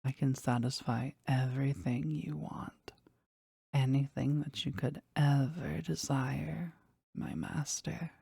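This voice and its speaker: A woman playing a powerful, jealous demon devoted to their master in an intimate audio roleplay, speaking deliberately and intensely in a husky, breathy voice. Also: fairly even intonation, warm tone, no echo, clean studio sound, exaggerated voice. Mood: seductive. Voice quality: husky, breathy, low creaky voice. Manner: slow, deliberate, intense, dramatic.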